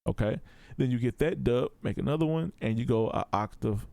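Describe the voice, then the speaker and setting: A man's voice: mid-range voice, fairly quick, gravelly, mellow, dry recording, studio quality. A man giving a casual, step-by-step tutorial in a laid-back, informal way. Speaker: audio production tutor.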